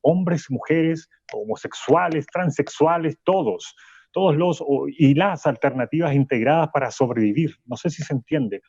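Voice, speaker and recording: An actor playing a thoughtful professor who speaks in a measured, reflective way on a radio program. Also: male voice, a little high, a little mellow, slightly rough, no echo. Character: thoughtful man, professor looking back on the past. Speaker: social sciences professor on radio. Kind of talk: radio drama acting. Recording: dull, lo-fi dry recording.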